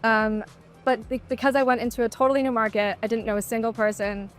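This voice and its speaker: A woman's voice: fairly high pitch, little pitch movement, quick pace, soft background music. A woman who founded her own company, talking casually on a panel in a matter-of-fact way.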